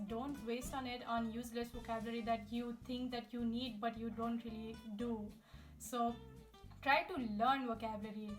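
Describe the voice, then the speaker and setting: A young woman, chatting upbeat language-learning tips straight to her viewers. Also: fairly high pitch. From India. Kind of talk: casual tips video to camera. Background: guitar music.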